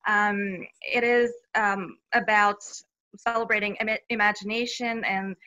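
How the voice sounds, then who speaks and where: A woman's voice: medium pitch, fairly even intonation, measured pace, bright, forceful tone. A woman from Bulgaria, a children's book author answering interview questions cheerfully, with frequent laughs and fillers.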